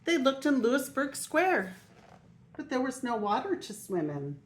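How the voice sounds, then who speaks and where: An older woman, a grandmother, reading a children's picture book aloud in a deliberate way with emphasized story words. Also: sits high, sing-song. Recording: faint noise on homemade video.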